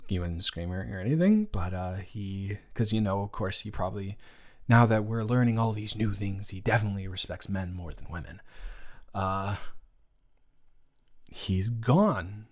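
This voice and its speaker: A man playing a young best friend, indignant yet gentle, in an improvised intimate audio roleplay with halting, emotional, natural speech. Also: on the low side, natural voice. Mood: subdued, hesitant.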